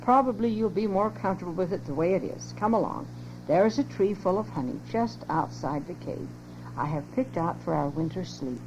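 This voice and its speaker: A woman's voice: on the low side, animated, normal speed, close to an everyday voice. An older woman reading aloud, voicing a stern but caring mother bear in a storybook, on a lo-fi home cassette tape with hum. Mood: motherly.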